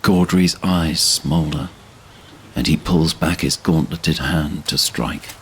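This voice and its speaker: A man narrates a fantasy audio drama with solemn gravity. His voice sits very low and moves slowly and deliberately. There is faint noise behind it. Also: fairly even intonation.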